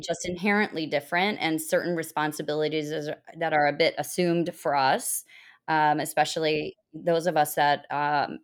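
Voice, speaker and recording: Low-pitched woman host, rambling questions. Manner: rambling, searching questions with restarts. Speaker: podcast host. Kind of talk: interview podcast hosting.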